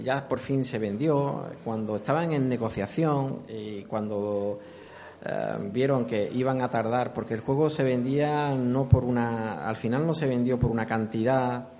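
A middle-aged man with an accent from Spain, telling anecdotes conversationally as an interviewee, explaining in halting, repeated phrases. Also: medium pitch, dark tone. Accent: Spanish from Spain. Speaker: interviewee, former video game programmer.

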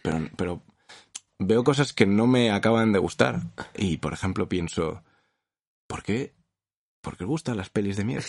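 A man's voice: lowish voice, lively intonation, moderate pace, vocal fry, pauses often. A man in his thirties from Madrid with a Madrid accent, co-hosting a casual comedy podcast with crude, exaggerated jokes. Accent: Madrid Spanish. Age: 30s. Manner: joking, exaggerated, crude slang. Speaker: podcast co-host.

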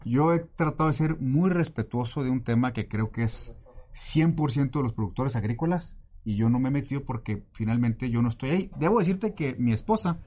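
Un hombre de la Ciudad de México con acento mexicano, entrevistado como director de una junta de aguas, que explica con calma y se ríe con facilidad. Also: male voice, medium pitch. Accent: Mexican Spanish. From Mexico City. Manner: relaxed, explains at length, laughs easily. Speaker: guest, water utility director. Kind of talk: casual interview.

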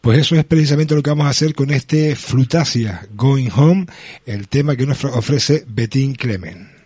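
A man co-presenting a radio show, reading prepared notes in a measured way. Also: medium pitch, a little mellow, husky. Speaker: radio co-host.

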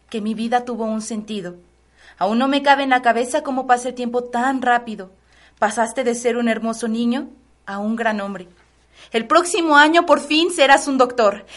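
Radio drama role: woman playing adoring middle-aged Mexican lady of the house, high voice, theatrical and tender. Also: close to an everyday voice. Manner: melodramatic, tender, sighing. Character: doting, devout, grief-stricken middle-aged mother, lady of the house. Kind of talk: radio soap opera acting.

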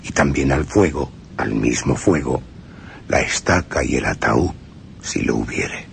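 A man with a Spain Spanish accent reading a radio text in a slow, ominous way that sinks to whispers. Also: rumbling low, expressive, gravelly, slight background noise.

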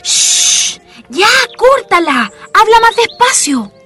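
A woman's voice: very high voice, sing-song, slow pace, pushed and bright, faint music behind, heavily acted. A woman acting as a feisty, exasperated Chilean schoolgirl in a radio drama, scolding and sassy. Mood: annoyed, indignant.

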